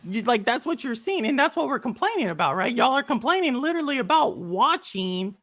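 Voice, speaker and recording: Male podcast host, hesitant restarts, bright tone, dry recording. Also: very high-pitched, very animated, fairly quick, no room sound. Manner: hesitant, restarts phrases while arguing a point. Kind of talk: casual podcast chat.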